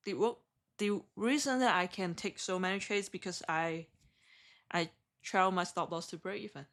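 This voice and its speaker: A woman from Hong Kong with a heavy Hong Kong accent, chatting with viewers on a live trading stream in halting, self-correcting English. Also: lowish voice, a bit bright. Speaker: day trader streaming live.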